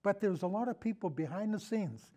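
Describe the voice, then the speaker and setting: A man's voice: sits high, animated, fairly quick, mellow. A man speaking as a podcast guest in a casual film discussion, rambling earnestly and repeating himself as he goes.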